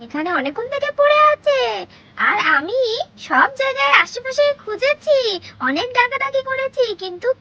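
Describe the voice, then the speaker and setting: A woman voicing an eager, stubborn, excitable little girl with a whiny edge in a children's doll story. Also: extremely high pitch, moderate pace, dull audio, very noisy. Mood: persuading.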